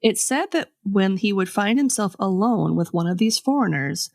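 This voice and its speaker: A woman podcast host telling a scripted story in a conversational way, with pauses for dramatic effect. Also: medium pitch, lively intonation, creaky, a little mellow, dry recording, studio quality. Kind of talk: scripted storytelling with casual asides.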